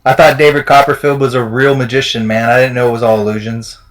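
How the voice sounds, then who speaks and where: A man hosting a crypto game livestream, talking in an energetic, promotional way. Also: medium pitch, fast. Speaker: livestream host.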